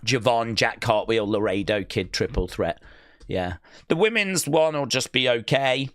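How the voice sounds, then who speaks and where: A man's voice: medium pitch, expressive, a little slow, a bit bright, no room sound. A man hosting a live stream, chatting casually and reading out viewer comments, with many fillers and restarts. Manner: rambling, lots of fillers and restarts. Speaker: streamer hosting a wrestling watch-along.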